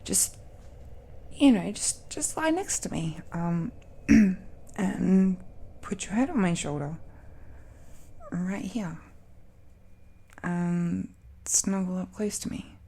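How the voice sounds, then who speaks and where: Romance audio roleplay: a woman as a possessive, adoring dragon-blooded girlfriend. Creaky low-key murmur, halting, tender delivery, extremely unhurried. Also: full character voice. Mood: shy, hesitant. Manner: hesitant, halting, with breathy murmurs and soft laughs.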